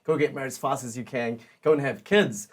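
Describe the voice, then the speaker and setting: A young man with a New Zealand accent, co-hosting a casual podcast, thinking out loud and turning questions to the group. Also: medium pitch, a little fast. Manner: reflective, asks the group questions. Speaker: podcast co-host.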